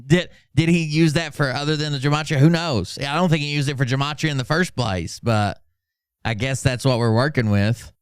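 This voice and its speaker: A man's voice: mid-range voice, fairly quick, clean tone, no room sound, clean studio sound. A man hosting a commentary stream in a sarcastic, mocking, profane manner. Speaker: host of a commentary livestream. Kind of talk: sarcastic reaction commentary with mock-dramatic readings.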